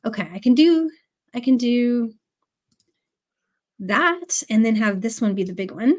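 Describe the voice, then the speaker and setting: Woman, slightly high pitch, nursing a cold; chatty live craft teacher. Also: animated, unhurried. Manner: chatty, many ums, greets viewers by name. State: has a cold. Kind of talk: casual live tutorial.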